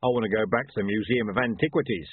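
A man voicing an impatient, slow-witted henchman, blunt and sulky, in a storybook reading. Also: lowish voice, breathy edge, phone-quality sound.

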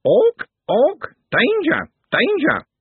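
A man's voice: extremely high pitch, wildly swooping pitch, slow pace, no echo, phone-quality sound, voice pushed up, full character voice. A man voicing an alarmed, shrieking female bird-like creature in an audiobook.

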